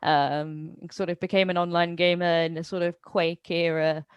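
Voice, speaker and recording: A woman's voice: fairly low pitch, flat delivery, moderate pace, clear, resonant tone, dry recording, lo-fi sound. A woman hosting a webinar and chatting casually about herself in a hedging, self-deprecating way. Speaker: webinar host, gaming community organiser. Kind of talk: casual personal chat.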